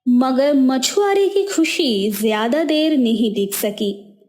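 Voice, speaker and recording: Slow-paced woman storyteller. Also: high-pitched, animated, darkish tone. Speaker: narrator. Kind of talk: scripted moral story narration for a cartoon.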